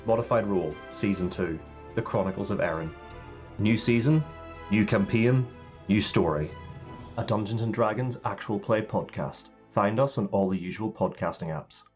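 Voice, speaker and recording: A man's voice: on the low side, moderate pace, a little mellow. A man narrating a dramatic fantasy trailer for a podcast promo. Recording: music bed behind the voice.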